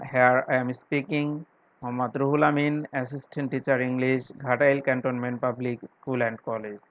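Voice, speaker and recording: A man with a Bangladeshi accent and Bengali as his native language, teaching an English grammar class in a deliberate way, repeating phrases as he explains. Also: mid-range voice, flat delivery. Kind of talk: instructional grammar lesson. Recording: thin, phone-like narrow-band sound.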